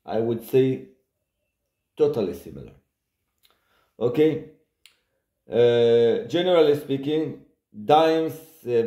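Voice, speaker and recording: A man speaking English with a Hebrew accent in a personal video monologue, talking haltingly with many hesitant pauses. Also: mid-range voice, warm tone. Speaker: vlogger. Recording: echoey room.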